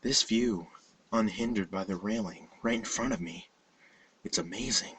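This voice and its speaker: A man voicing a calm, coy, thrill-seeking schoolgirl in a horror story reading. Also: lowish voice, moderate pace, slight background noise, lightly acted, poor audio. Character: thrill-seeking, heartless schoolgirl, calmly daring and manipulative.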